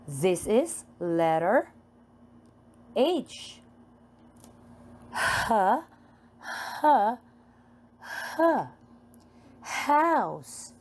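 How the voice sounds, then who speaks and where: Filipino accent woman teaching little kids letters, super slow, sing-song and repetitive, pitch goes up and down a lot. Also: a little mellow. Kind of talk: slow phonics lesson for young children.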